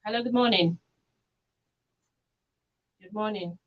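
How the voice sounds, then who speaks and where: A woman's voice: medium pitch, slow, muffled recording, noisy background. A Nigerian woman hosting a live radio call-in show from a studio.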